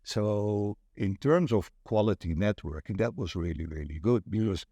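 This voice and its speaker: A middle-aged or older man from the Netherlands with a Dutch accent, telling stories in a measured, deliberate way as an interview guest. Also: on the low side, dark tone, no echo, highs cut off. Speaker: networking event organiser, interview guest. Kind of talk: conversational interview, recounting.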